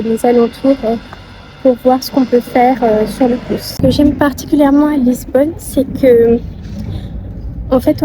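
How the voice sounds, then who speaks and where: A woman with a fairly high voice talks through a travel vlog at an unhurried pace. She is polite and descriptive, speaking softly and casually, with faint noise around her. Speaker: vlogger.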